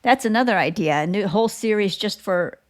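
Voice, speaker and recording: A woman hosting a conversational podcast interview, appreciative and pausing now and then to find her words. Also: medium pitch.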